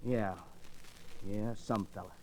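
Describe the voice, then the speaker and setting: An actor playing an earnest, awkward young cowhand in an old-time radio western, folksy and halting. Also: male voice, on the low side, steady tone, mellow. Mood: uneasy. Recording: noisy vintage radio recording.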